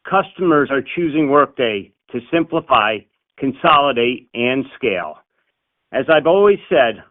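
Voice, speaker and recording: Male executive, mid-pitched, on a phone-like line. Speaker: company chief executive. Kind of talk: prepared earnings call comments. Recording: narrowband conference phone line.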